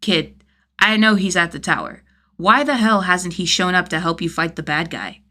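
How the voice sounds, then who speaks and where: A woman's voice: lowish voice, moderate pace, bright, forceful tone. A woman voicing a sardonic young man, a vigilante who talks in casual, teasing slang in a story reading. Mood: exasperated. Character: gruff, sardonic young man vigilante, wryly fond of kids.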